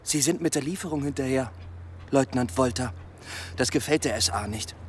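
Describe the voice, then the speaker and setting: An actor playing a dogged, sleepless detective in a radio play, terse and probing. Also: male voice, mid-range voice, moderate pace, slight background noise, natural voice. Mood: tense, accusing. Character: dogged, sleepless young police detective in old Berlin.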